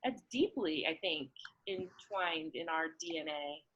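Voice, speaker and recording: A woman's voice: low-pitched, animated, a little slow, a bit bright, highs cut off, slight background noise. A woman talking reflectively in casual conversation, thoughtfully searching for her words.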